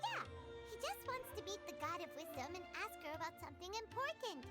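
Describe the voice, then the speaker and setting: A woman voicing a tiny, curious, excitable childlike fairy companion in a video game, squeaky and eager. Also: a little fast. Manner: eager, exclamatory. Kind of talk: video game character dialogue. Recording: loud background music. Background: game music.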